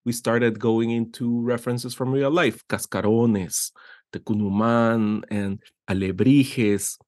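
A man's voice: medium pitch, steady tone, slow, darkish tone, no room sound, clean studio sound. A middle-aged man from Guatemala, an art director being interviewed, talking eagerly with many restarts and "right?" tags. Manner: enthusiastic, rambling with frequent restarts and "right?" tags. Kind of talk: casual interview conversation.

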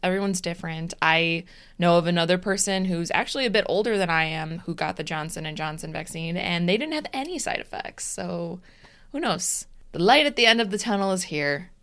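Young woman podcast co-host, smooth low-leaning voice, casual storyteller. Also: brisk. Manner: animated storytelling, acts out her own texts. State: recovering from vaccine side effects. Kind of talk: casual chat.

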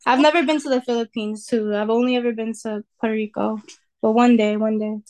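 A woman's voice: on the high side, a little fast. A young woman from the Bronx, a musician, talking casually and reflectively as an interview guest, with frequent "like" and "um". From New York, Bronx. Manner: relaxed, reflective, with fillers like "like" and "um".

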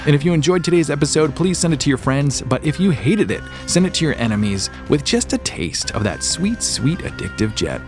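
A man's voice: a little high, sing-song, brisk, hardly any pauses, music in the background. A man hosting a podcast solo, chatting informally and enthusiastically to his listeners and thinking aloud as he goes. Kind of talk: casual rambling show update to listeners.

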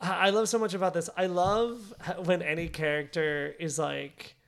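A woman's voice: lowish voice, fairly even intonation, normal speed, gravelly. Enthusiastic woman hosting a comedy podcast. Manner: enthusiastic, conversational. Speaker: podcast host. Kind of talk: casual comedy discussion.

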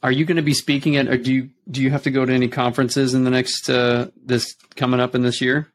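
A man's voice: medium pitch, level tone, quick pace. A man hosting an interview, asking questions hesitantly with many ums and restarts. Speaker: podcast host. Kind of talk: casual interview.

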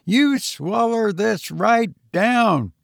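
A man's voice: high voice, lively intonation, very slow, gravelly, dry recording, studio quality, pitched above the performer's own voice, heavily acted. A man voicing a blunt, indignant rural woman with a folksy, emphatic way of talking, in a storybook reading. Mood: commanding, exasperated. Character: blunt, indignant rural woman, plainspoken neighbor recalling events.

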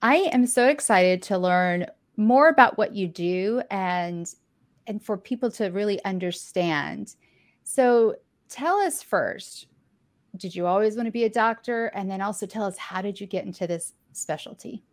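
A woman's voice: medium pitch, measured pace. A woman hosting an interview, introducing her guest and asking questions in an upbeat, encouraging way. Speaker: interview host.

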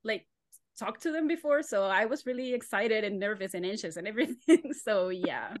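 A woman from Chile whose first language is Spanish, a guest speaking English, nervous and giggly with hesitant pauses. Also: medium pitch, expressive, no echo, clean studio sound. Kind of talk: casual interview chat.

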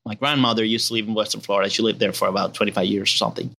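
A man in his late twenties with a Spanish and Venezuelan accent, a relaxed guest chatting casually outdoors. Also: lowish voice, rapid-fire, vocal fry, a little hiss or hum. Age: 20s. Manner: laid-back, relaxed and chatty, calls people man.

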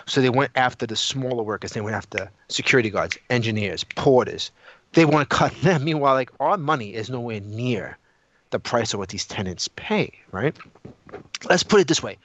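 A man's voice: mid-range voice, lively intonation, moderate pace, fairly bright tone. A man in casual, opinionated podcast discussion, emphatic and repetitive, often throwing out rhetorical questions. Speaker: podcast co-host.

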